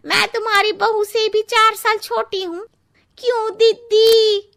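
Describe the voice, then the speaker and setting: A woman playing a cheeky, blunt young housemaid in an animated Hindi comic story, with a drawling, exaggerated delivery. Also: very high voice, takes it slowly, vocal fry, exaggerated voice.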